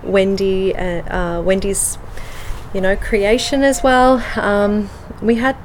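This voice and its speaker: A woman with an Australian accent in a casual interview, speaking with many pauses and ums, moving between English and Slovenian. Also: mid-range voice, level tone, slight background noise, rough recording. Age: adult with children. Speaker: guest.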